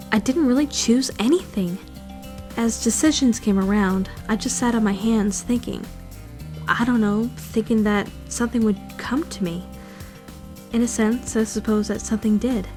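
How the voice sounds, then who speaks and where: A woman's voice: a little high, darkish tone, close to an everyday voice. A woman playing an anxious, brooding fourteen-year-old schoolgirl who narrates her self-doubting thoughts in a visual novel fandub. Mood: miserable, brooding. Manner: introspective, questioning, self-critical. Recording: music behind the voice.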